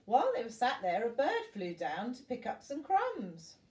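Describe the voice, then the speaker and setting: A woman's voice: fairly high pitch, wildly swooping pitch, normal speed, darkish tone. An older woman reading a storybook aloud to young children, expressively, like a grandmother at bedtime, with little asides to her listeners. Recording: roomy echo, distant from the mic.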